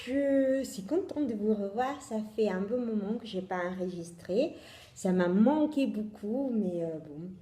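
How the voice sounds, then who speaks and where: Slow, hesitant Italian woman in echoey room. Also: medium pitch, dark tone. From Italy. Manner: hesitant, many fillers and restarts. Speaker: knitting podcast host. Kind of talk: casual video podcast chat. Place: home in Italy.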